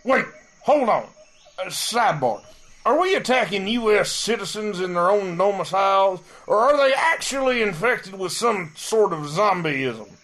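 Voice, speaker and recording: A man acts out an argumentative soldier in an audio comedy. He is a skeptical know-it-all with a high-pitched voice. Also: big pitch swings, unhurried, fairly bright tone, heavily acted. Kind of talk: comedic audio drama acting.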